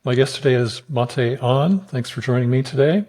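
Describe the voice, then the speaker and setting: A man's voice: medium pitch, normal speed, darkish tone. A man hosting a podcast, asking brief, plain interview questions. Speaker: podcast host.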